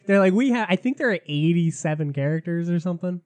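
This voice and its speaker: A man hosting a casual gaming podcast, a little sick and raspy, chatty and loose, laughing often. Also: high-pitched, animated, fast talker, a little mellow. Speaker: podcast host.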